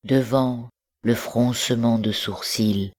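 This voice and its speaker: A woman reciting literary poetry in measured, deliberate phrases broken by pauses. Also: extremely low pitch, fairly even intonation, darkish tone, husky. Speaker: poetry reader.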